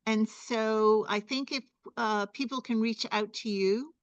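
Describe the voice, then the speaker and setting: A woman facilitating a meeting, polite and brisk. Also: on the high side, steady tone, clear, resonant tone, dry recording.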